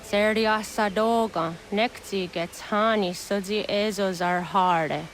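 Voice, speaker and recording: Actress as a slow-speaking solemn village elder woman. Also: mid-range voice, a little hiss or hum, natural voice. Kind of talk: dramatic video game acting.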